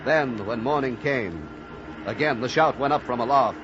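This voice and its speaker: An actor playing the solemn narrator of a sea tale, speaking in measured, literary, theatrical old-time radio style. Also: male voice, mid-range voice, lightly acted. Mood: solemn suspense. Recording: muffled old radio recording. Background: music.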